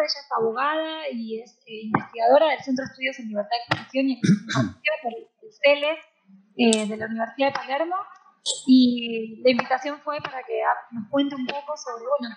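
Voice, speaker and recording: A woman moderating an online talk, introducing the guest speaker in an informal, hesitant way. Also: high-pitched. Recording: dull echoey video call audio.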